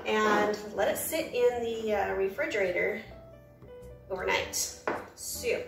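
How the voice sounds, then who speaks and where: A woman's voice: mid-range voice, roomy sound, distant mic. An American woman hosting a cooking vlog from her home kitchen, chatty and upbeat, with rambling asides. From United States. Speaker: cooking channel host.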